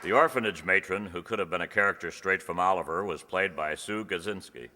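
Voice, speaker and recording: Deep-voiced male announcer in an echoey hall. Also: a little fast, sharp tone, a faint crowd. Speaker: announcer and cast member. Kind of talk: live curtain-call thanks to cast and crew. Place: indoor hall before an audience. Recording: echoey hall, noisy, audience sounds.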